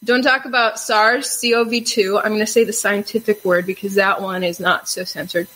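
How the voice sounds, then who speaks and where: A woman hosting a casual talk show, lively and quick to laugh. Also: medium pitch, slight background noise, poor audio. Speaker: podcast host. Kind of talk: casual chat.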